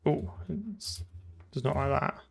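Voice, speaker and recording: Slow, creaky-voiced man thinking aloud. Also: medium pitch, lively intonation. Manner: muttering to himself in fragments while typing. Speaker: programmer streamer. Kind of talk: live coding commentary, thinking aloud. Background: keyboard typing and clicking.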